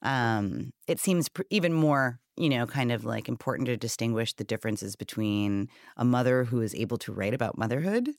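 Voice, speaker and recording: A woman hosts a book podcast, her voice swinging widely up and down as she talks. She is chatty and expressive, leading the guest toward her questions. The recording is dry and close. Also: a bass voice, normal speed, hardly any pauses, no room sound. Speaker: podcast host, publisher. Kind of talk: podcast interview.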